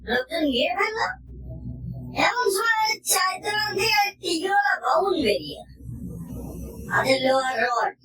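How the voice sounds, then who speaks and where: Woman doing a cranky ghost bus conductor, high and jumpy, shouty and rude, echoey and far off with music behind. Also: full character voice. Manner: coarse, complaining, shouting. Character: ghostly male bus conductor, crude and grumbling. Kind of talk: horror audio drama acting. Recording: echoey, distant, under music.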